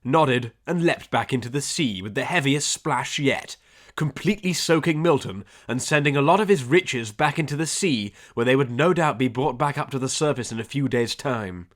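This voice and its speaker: A man's voice: medium pitch, moderate pace. A man reading a scripted adventure story as an audiobook narrator, with dramatic delivery.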